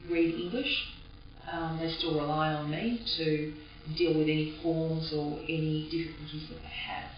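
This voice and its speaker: Australian woman, greek as first language, flat even delivery, roomy echo, distant dull mic. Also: low voice, slight background noise. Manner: reflective, halting. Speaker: interviewee. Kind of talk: personal reminiscence. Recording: echoey, distant, dull recording.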